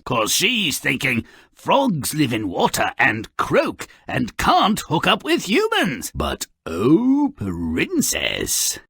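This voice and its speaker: An actor voicing a cynical, gleefully wicked little gremlin who narrates fairy tales in a video game, with a gravelly rasp and a sarcastic, theatrical drawl. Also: male voice, on the high side, pushed and bright, no room sound, studio quality, exaggerated voice. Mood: sardonic. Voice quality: raspy, gravelly.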